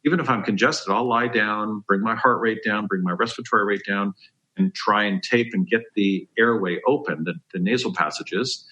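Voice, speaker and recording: A man's voice: lowish voice, moderate pace, pushed and bright, muffled recording. A man giving health advice as a dentist on a podcast, explaining step by step in an emphatic, conversational way.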